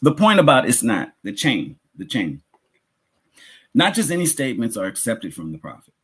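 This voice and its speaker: A man with an American accent and a husky, slightly rough voice at a medium pitch. He delivers a religious lecture like a teacher addressing the public, deliberate in his delivery, often repeating a phrase to drive it home. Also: huge pitch swings, a bit bright. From United States.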